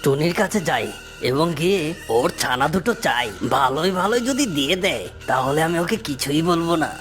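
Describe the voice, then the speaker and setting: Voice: medium pitch, animated, a little fast, fairly bright tone, faint music behind, natural voice. An actor voicing a greedy, mocking fox villain in a cartoon, with a taunting, bullying manner. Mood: scheming. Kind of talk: cartoon character dialogue.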